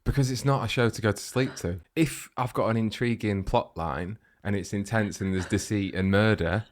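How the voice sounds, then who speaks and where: A man co-hosting a podcast, chatting casually with teasing, dry jokes. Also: moderate pace. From United Kingdom. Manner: teasing, dry jokes, low murmured agreements. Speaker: podcast co-host. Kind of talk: casual chat.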